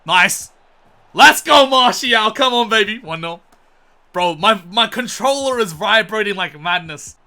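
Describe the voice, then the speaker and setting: A young man hosting a gaming video in a casual, chatty way, his voice slightly croaky and strained, and he bursts into loud excited shouts. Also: high voice, a little slow. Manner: chatty, calls viewers boys, erupts into loud excited shouts.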